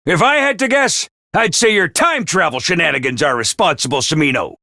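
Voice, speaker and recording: A cold, scheming official from a video game, theatrical and commanding. The voice sits high and bright, swinging wildly from booming orders to hushed, grim asides. It is recorded dry and close in a studio. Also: male voice, lively intonation, fairly quick, no echo. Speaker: voice actor.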